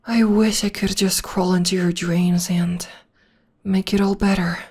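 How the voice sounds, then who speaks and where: A young woman voicing a gentle, devoted witch girlfriend in an ASMR comfort roleplay, speaking softly and often in a whisper. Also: lowish voice, fairly even intonation, unhurried, slightly rough. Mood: tender, soothing. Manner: soft, soothing, often whispering. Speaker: voice actor.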